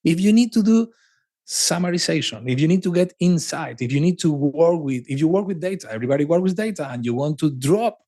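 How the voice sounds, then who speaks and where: A man from Argentina, a native Spanish speaker with an Argentine accent, talking as a podcast guest in an animated, repetitive, conversational way. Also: a little high, a little fast. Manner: animated, with frequent restarts and emphatic repetition. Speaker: podcast guest, cloud advocate. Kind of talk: casual conversational interview.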